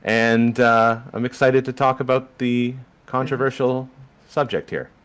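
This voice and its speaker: A young Canadian man from Southern Ontario, a podcast guest laying out history in a measured, explanatory way. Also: medium pitch, fairly even intonation, fairly bright tone, clear, resonant tone. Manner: explanatory, measured, walks through history step by step. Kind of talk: casual discussion.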